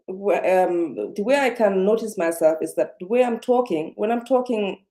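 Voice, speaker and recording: A woman's voice: medium pitch, normal speed, warm tone. A woman speaking English as a second language, talking to camera in an unscripted self-help video, hesitant with many fillers and restarts. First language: not English. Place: indoors, seated. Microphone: webcam or computer mic.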